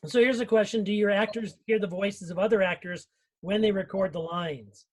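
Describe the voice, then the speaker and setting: A man with a notably high speaking voice talks casually in an online panel. He sounds like an audio producer chatting with his cast. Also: steady tone, moderate pace. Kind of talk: casual panel chat on a live stream.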